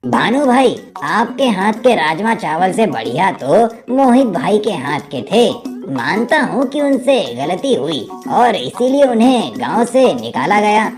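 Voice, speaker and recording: A woman voicing a frank, grumbling male eatery customer in an animated moral story. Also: lowish voice.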